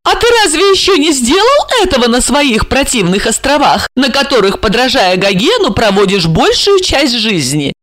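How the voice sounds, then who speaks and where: A woman doing a teasing, high-voiced coquette. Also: big pitch swings, unhurried, no echo, heavily acted. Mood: coy. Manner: teasing, high and sing-song. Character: playful, coquettish young rich woman. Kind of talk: audiobook character reading.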